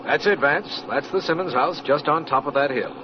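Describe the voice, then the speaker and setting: An actor playing an earnest, businesslike district attorney in an old-time radio drama, formal and often incredulous. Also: male voice, fairly high pitch, moderate pace, natural voice. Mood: puzzled. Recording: dull, faintly noisy vintage radio recording.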